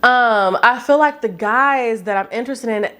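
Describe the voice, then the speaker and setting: A woman in her late twenties chatting casually to camera as a YouTuber, animated and talkative, often stopping to ask "okay?". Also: a little high, moderate pace. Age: 20s.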